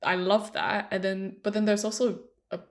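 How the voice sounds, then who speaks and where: A woman's voice: mid-range voice, little pitch movement, moderate pace. A young woman from Hong Kong in casual podcast chat, explaining her thoughts at length. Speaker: podcast co-host. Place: London.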